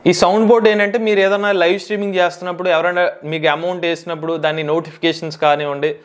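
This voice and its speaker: A man presents a software tutorial at a very rapid pace. His voice is fairly high, and he explains in an instructional way, repeating key phrases. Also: little pitch movement. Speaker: tech tutorial host.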